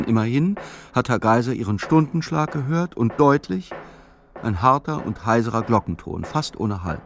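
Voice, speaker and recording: A man narrating a literary radio play in a measured, sober, reflective way. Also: fairly low pitch, creaky, a little mellow, a little hiss or hum.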